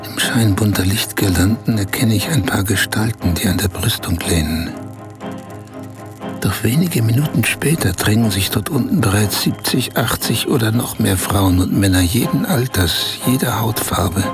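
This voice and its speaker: An actor in a radio play as a man recounting, in measured detail, a strange dreamlike night of being pampered. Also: fairly low pitch, expressive. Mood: recounting, absorbed. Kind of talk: German radio play monologue. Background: music.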